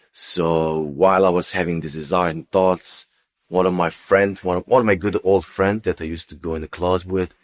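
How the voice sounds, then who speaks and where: A man who speaks as a tells his personal story as an interview guest, in a halting, unscripted way with repeated words and phrases. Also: sits low. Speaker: interview guest giving testimony.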